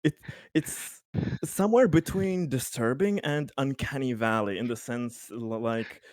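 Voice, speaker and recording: A man's voice: mid-range voice, a little slow, darkish tone, no echo. A man casually narrating his character's actions as a player in a tabletop game, wordy and amused.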